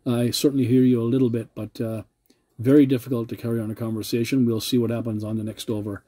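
A man's voice: medium pitch, level tone, quick pace, warm tone. Polite man on a CB radio contact. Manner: polite, uses radio procedure words. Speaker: CB radio operator.

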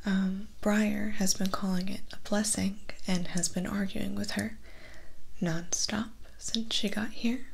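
A woman in her twenties playing a vampire's calm, chatty, slightly awkward assistant in a soft-spoken ASMR roleplay, talking gently and haltingly close to the mic. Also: mid-range voice, vocal fry, breathy edge, heavily acted. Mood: musing, gossipy. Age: 20s. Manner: hesitant, halting, gently conversational. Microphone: close microphone, just off camera.

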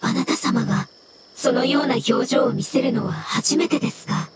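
Woman as a high-voiced, courteous mushroom spirit. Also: heavy background noise, natural voice. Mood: polite, concerned. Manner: courteous, measured, formally polite speech. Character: polite floating mushroom spirit, gentle and formal. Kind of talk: scripted game dialogue.

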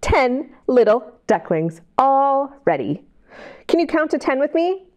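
A middle-aged American woman reads aloud to young children as a storytime host. Her voice is high and swoops widely, with a slow, deliberate pace. She is expressive and sing-song, often putting questions to her listeners. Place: indoor library children's area. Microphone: lapel mic.

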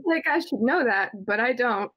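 A young woman talks casually with a husky, breathy edge to a higher voice. The recording is dry, without room echo. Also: lively intonation, normal speed, highs cut off. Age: young adult. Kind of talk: casual chat. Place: indoor room at home, video call. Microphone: video call.

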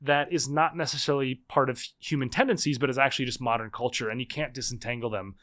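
Fast-talking man with frequent restarts. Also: medium pitch, steady tone, a bit bright. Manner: quick, many restarts and repeated words. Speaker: podcast guest, author. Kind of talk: conversational interview.

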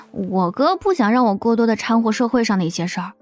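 A woman's voice: mid-range voice, moderate pace, soft background music, natural voice. A woman playing a feisty, naive young woman with a brash, bratty manner in an audiobook drama. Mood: cheerful. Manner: brash, complaining.

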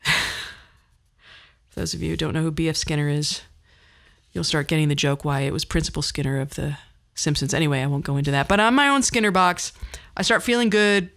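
A woman's voice: low voice, moderate pace, vocal fry, a bit bright. Candid middle-aged woman podcaster. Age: 50s. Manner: candid, self-deprecating, wry. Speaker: podcast host. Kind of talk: personal monologue storytelling, conversational.

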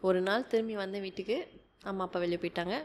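A young woman, chatting as a podcast guest, fast and animated, mixing Tamil and with lots of fillers. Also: medium pitch. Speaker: tour guide guest. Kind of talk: casual podcast conversation. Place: small indoor room at a table. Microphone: desk podcast mic.

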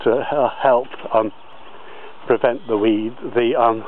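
Slow hesitant guy walking round his garden plot, tinny phone-like sound. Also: medium pitch, fairly even intonation, a little hiss or hum. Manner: slow, halting, many fillers and restarts. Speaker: allotment gardener. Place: outdoors on a garden allotment.

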